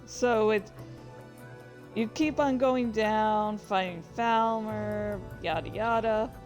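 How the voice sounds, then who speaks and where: A woman's voice: medium pitch, slow pace, darkish tone, soft background music. A woman telling gaming stories casually as a host, in a rambling, informal, sweary way. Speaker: host of a reading and gaming channel. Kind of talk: casual storytelling about gaming.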